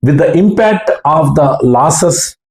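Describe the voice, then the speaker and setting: A man with a South Indian accent recounting his experience as an amateur radio operator in halting, earnest short phrases. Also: fairly high pitch, lively intonation, darkish tone. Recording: roomy, echoing room sound.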